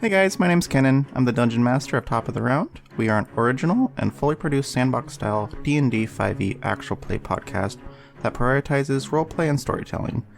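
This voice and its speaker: A man's voice: medium pitch, lively intonation, a little fast, vocal fry, faint music behind. A man introducing his show as dungeon master in a scripted promo. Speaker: dungeon master, podcast host.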